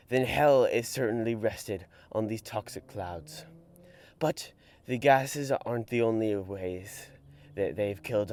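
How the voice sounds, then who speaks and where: A man's voice: medium pitch, close to an everyday voice. A young man reading aloud as a grim, weary soldier writing a letter home from the trenches, in a solemn, dramatic voice. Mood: mournful. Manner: solemn, mournful, sometimes hushed.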